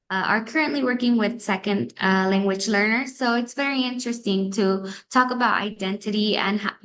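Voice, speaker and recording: A woman's voice: mid-range voice, a bit bright, low-quality recording. Hesitant woman podcast host. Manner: hesitant, many fillers. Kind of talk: interview questions.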